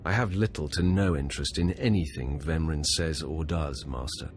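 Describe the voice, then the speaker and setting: A man's voice: extremely low pitch, normal speed. An actor playing a self-assured male Sith acolyte in a video game, terse and controlled, with music underneath. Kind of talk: scripted video game drama.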